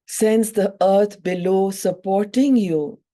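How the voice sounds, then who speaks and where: Slow, mellow woman guiding meditation. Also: mid-range voice. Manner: soothing, measured phrases with long pauses. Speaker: yoga and breathwork teacher. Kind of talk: guided meditation instruction.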